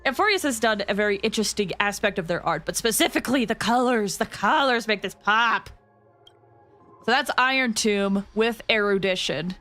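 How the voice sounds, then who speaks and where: A woman's voice: mid-range voice, animated, moderate pace, a bit bright, faint music behind. A woman doing casual live stream commentary on a game, chatty and reactive. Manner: chatty, reactive, greets viewers.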